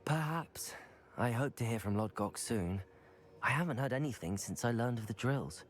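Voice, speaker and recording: A man's voice: mid-range voice, animated, moderate pace, natural voice. Actor doing young wizard guy, polite n earnest, voice goes up and down, fantasy game hero. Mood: serious, informative. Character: earnest, determined young male wizard student. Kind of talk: dramatic fantasy video game acting.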